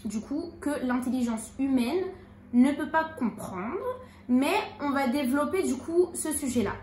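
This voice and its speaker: A high-voiced woman reads scripture in a measured, deliberate way and then explains it in casual talk. The room is echoey with faint noise underneath her voice. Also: lively intonation, a little mellow. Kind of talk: reading scripture aloud and casual chat.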